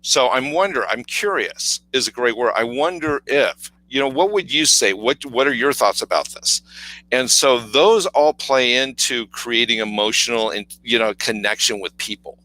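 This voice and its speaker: A man co-hosting a podcast with a deep, authoritative voice, talking casually and acting out stories. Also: animated, normal speed, sharp tone, no echo, low-quality recording. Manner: storytelling, acts out quoted voices, many fillers. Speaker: podcast co-host. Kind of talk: casual conversational coaching talk.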